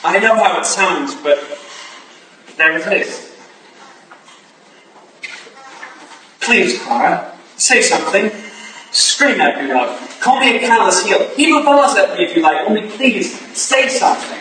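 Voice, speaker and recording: A man's voice: sits high, sing-song, normal speed, bright, forceful tone, heavily acted. A man playing an earnest, idealistic Victorian husband who pleads and defends himself in a staged reading of a period drama. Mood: contrite, pleading. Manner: earnest, theatrical. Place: staged reading in a room, recorded from afar. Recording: distant echoey room, faint noise.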